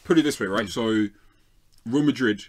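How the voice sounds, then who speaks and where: A man with a South London accent, arguing football opinions as a fan show pundit in an animated, rapid-fire way. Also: medium pitch. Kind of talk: lively football debate.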